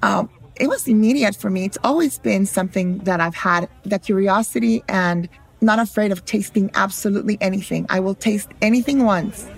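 A woman's voice: medium pitch, moderate pace, vocal fry, few pauses, slightly rough, low-quality recording. A middle-aged or older woman from Guatemala, speaking as an interview guest and telling stories about her life and work. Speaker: guest, food historian and cookbook author. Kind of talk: interview storytelling.

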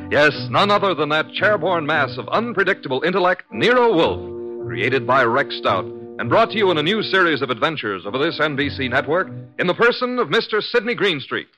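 A man's voice: mid-range voice, bright, forceful tone, rough recording. A man introducing a radio drama in a grandiose, theatrical, scripted old-time announcing style. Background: music.

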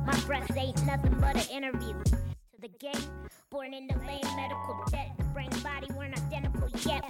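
A woman rapper performing wordplay-heavy rap verses. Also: high-pitched. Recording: distant vocal under loud music.

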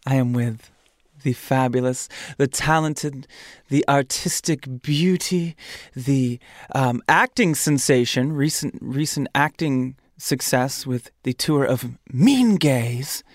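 A man from Michigan hosting a podcast in an animated, campy and chatty way, close to the mic. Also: medium pitch, slow pace, no room sound. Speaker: podcast host. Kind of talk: casual podcast chat.

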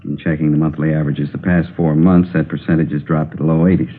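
An actor with an American accent playing a brisk, authoritative police captain in a 1950s radio drama, matter-of-fact, on a muffled old recording. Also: male voice, extremely low pitch, little pitch movement, husky. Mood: brisk, official. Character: brisk male police captain briefing his detectives.

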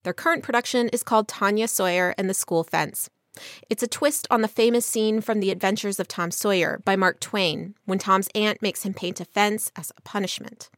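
A woman's voice: mid-range voice, steady tone, moderate pace, dry recording, clean studio sound. A woman reporting a scripted radio news feature as narrator.

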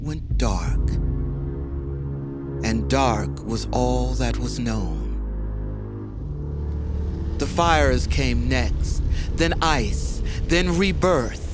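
Slow, solemn male narrator. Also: medium pitch, over loud music. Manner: solemn, grave. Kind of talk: scripted narration.

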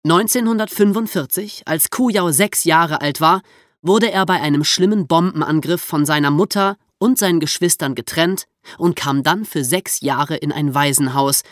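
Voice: medium pitch, moderate pace, dry recording, studio quality. A podcast host narrating from a script in an explanatory storytelling way, with conversational asides.

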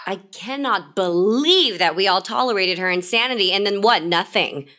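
Woman as a jaded, bright-voiced theatre insider. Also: mid-range voice, moderate pace, lightly acted. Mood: exasperated. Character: exasperated, cynical woman in the theatre business. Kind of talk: naturalistic scripted audio comedy dialogue.

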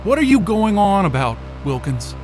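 A man's voice: high-pitched, very animated, normal speed, darkish tone, faint music behind. An actor playing an exasperated, foul-mouthed male astrophysicist in an audio drama, irritable and sarcastic. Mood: rattled, irritated.

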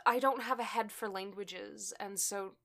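A woman's voice: medium pitch, fairly even intonation, measured pace, vocal fry, a bit bright. A woman guest in a casual podcast interview who talks about her voice acting work, hesitant, with many fillers and restarts. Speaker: voice actor guest.

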